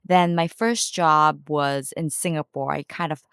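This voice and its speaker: A woman from Japan answering interview questions in a calm, measured way. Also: low voice, clear, resonant tone, no echo, studio quality. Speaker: social enterprise founder, guest.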